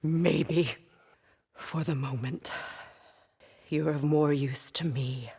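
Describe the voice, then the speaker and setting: An actress playing a proud, wounded, vengeful Norse goddess queen in a video game, speaking with imperious, deliberate intensity. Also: low-pitched, steady tone, creaky, mellow, breathy edge, exaggerated voice. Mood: quiet menace. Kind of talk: dramatic video game acting.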